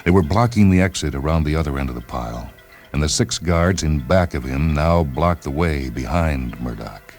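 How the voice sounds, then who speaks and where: A man narrating a short story in a deliberate, dramatic literary delivery, with music behind him. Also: extremely low pitch, a little mellow. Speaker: narrator and reader of short stories.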